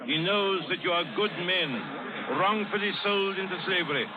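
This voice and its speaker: An actor playing a haughty, loyal English lord and royal envoy, formal and pompous, in old radio drama style. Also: male voice, sits high, moderate pace, lightly acted. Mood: earnest, formal. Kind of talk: 1930s radio drama acting. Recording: muffled old radio broadcast, crowd noise.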